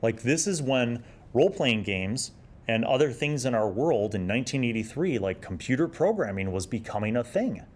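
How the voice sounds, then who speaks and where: A man's voice: mid-range voice, expressive, normal speed. A man in his forties talking casually on his own as he hosts a review show, reflective and explanatory in a conversational way. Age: 40s. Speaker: host of an old gaming review series. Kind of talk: casual solo review and reminiscence.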